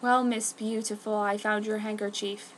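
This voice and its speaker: A woman's voice: medium pitch, level tone, moderate pace, close to an everyday voice. Woman doing cocky anime wolf boy, cool and sure of himself, bit of noise.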